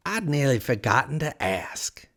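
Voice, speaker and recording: Slow, swooping, mad Cheshire Cat. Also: medium pitch, sing-song, a bit bright, voice pushed up, heavily acted. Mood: sly, casual. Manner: drawn-out words, swooping and teasing. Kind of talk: storybook character voice.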